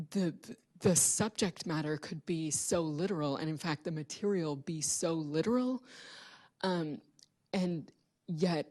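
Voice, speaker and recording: A woman on a panel at a public event, reflecting in a measured, academic way and pausing mid-phrase. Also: low-pitched. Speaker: panelist and translation collaborator.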